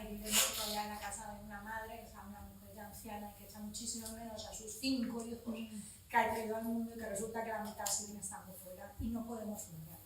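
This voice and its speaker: A woman's voice: mid-range voice, noisy background. A Spanish woman in her forties who talks frankly and swears casually, speaking up from the audience at a public event. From Spain. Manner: blunt and frank, swears casually, many restarts. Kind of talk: impassioned spontaneous public comment. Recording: echoey room, from far off.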